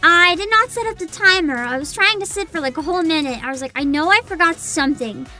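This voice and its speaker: Super high pitched streamer girl, woman chatting excitedly w her chat, music playing behind. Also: fast. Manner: animated, exclamatory. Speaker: livestream host, VTuber. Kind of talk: casual livestream chat.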